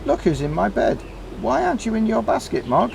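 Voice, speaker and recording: A man's voice: sits high, very animated, a little fast, vocal fry, background noise, voice pushed up, heavily acted. A man voicing an earnest young girl talking simply about her pet in a storybook. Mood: surprised. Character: young girl, concerned and matter-of-fact about her cat.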